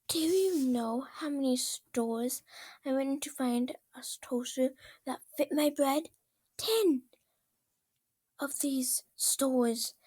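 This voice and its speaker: A woman's voice: sits high, takes it slowly, a little mellow, no echo, voice pushed up. A woman voicing an enraged young pony princess, ranting in a melodramatic, exasperated way in an amateur story reading. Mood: shrill frustration. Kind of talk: amateur fan fiction character reading.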